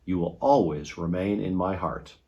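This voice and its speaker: A man's voice: sits low, normal speed, a little mellow, lo-fi sound. A man voicing a hospitable island village king in a storybook, speaking in a welcoming manner.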